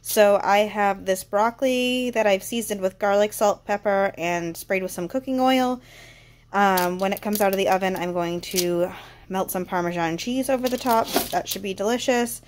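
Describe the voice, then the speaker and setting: A woman talks through her home cooking in a relaxed, chatty way, often pausing on an um. Her voice is a little congested and sits at an ordinary middle pitch. Faint kitchen noise runs behind her. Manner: relaxed, chatty, frequent ums. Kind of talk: casual kitchen vlog narration.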